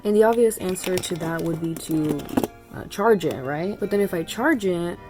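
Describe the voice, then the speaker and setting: A young woman chatting casually in a home vlog, rambling with fillers while her phone camera sits on the couch. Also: on the low side. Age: young adult. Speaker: student vlogger. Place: home room under a loft bed.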